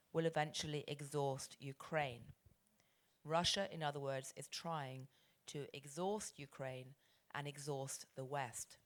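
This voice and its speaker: A middle-aged woman from Britain moderating a panel discussion, articulate and brisk with frequent ums. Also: low-pitched.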